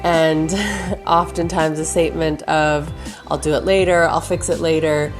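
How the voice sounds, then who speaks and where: A woman with a hoarse, froggy, slightly raspy voice gives chatty advice on intuition, often restarting her sentences. Her voice sits a little low for a woman. Faint music plays underneath. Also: fairly even intonation, measured pace. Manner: chatty, loose, with fillers and restarts. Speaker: intuition teacher. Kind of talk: casual talking-head advice video.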